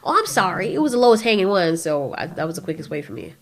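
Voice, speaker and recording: A woman's voice: fairly low pitch, sing-song, fast talker, soft background music. A woman voicing a sheepish, rambling male kaiju with slangy, hedging speech in an audio drama. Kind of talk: casual audio drama dialogue.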